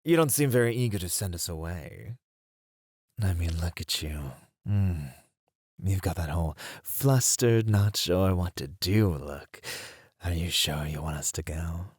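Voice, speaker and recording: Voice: sits low, expressive, unhurried, darkish tone, husky, dry recording, studio quality, exaggerated voice. A performer voicing a playful, smugly flirtatious demon in an ASMR roleplay, with a drawling, theatrical, teasing delivery.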